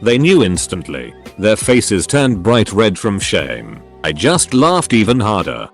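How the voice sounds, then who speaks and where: A man reading online stories aloud as a scripted narration, in a lively, expressive storytelling manner. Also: fairly low pitch, measured pace, faint music behind.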